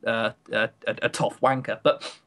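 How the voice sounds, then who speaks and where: A man's voice: mid-range voice, flat delivery, normal speed, a bit bright. A British man co-hosting a podcast, chatting casually in a rambling, opinionated, self-mocking way about what he has played. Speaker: podcast co-host. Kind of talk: casual chat about visual novels.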